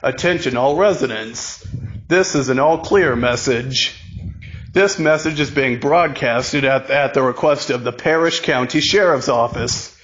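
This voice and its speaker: Slow, solemn man reading a mock emergency alert. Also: mid-range voice, fairly bright tone, dull audio. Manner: slow, solemn announcer reading with stumbles and repeats. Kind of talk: mock emergency broadcast announcement.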